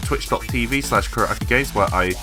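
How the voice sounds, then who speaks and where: A man's voice: medium pitch, brisk. A man giving casual, offhand gameplay commentary as a video host, with game music behind him. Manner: offhand reactions, muttering to himself. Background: video game music and sounds.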